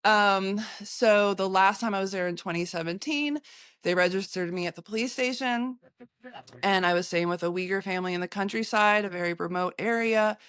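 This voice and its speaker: Smooth-voiced American woman recalling travels. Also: medium pitch, a bit bright, no echo. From United States. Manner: halting, with many fillers and restarts. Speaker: photographer and interviewee. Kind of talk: recounting travels in an interview.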